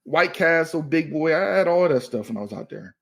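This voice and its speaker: A man's voice: a little high, lively intonation, fairly quick, creaky. A man in his thirties from Maryland, a comedian guest talking casually and loosely, often saying "you know what I mean.". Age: 30s. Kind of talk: casual podcast chat.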